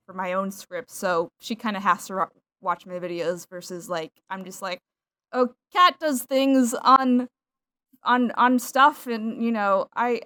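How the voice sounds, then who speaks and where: A woman's voice: medium pitch, sharp tone. Young woman podcast host, mid-range voice, rambling and self-deprecating, laughs easily. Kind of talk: casual podcast chat.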